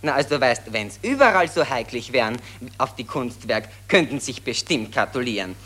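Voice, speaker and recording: A man's voice: medium pitch, lively intonation, normal speed, sharp tone. An actor playing a chatty male patriot in a radio play, reading news aloud in a chummy, drawling way with hesitant fillers. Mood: amused.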